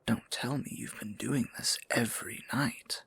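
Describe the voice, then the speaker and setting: A man playing a tender, possessive, courtly dark sorcerer in an intimate fantasy ASMR roleplay, speaking formally in a breathy, gravelly near-whisper. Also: medium pitch, fairly even intonation, full character voice. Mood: tender concern. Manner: formal, archaic endearments, slow deliberate phrasing.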